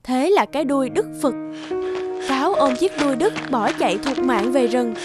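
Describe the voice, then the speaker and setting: A woman's voice: sits high, normal speed, over loud music. A woman reading scripted fairy-tale narration as a storyteller.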